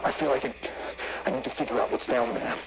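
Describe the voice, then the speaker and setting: A man's voice: mid-range voice, fast talker, noisy background, natural voice. A man playing an uneasy but determined crew leader giving a found-footage horror monologue. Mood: uneasy, resolved.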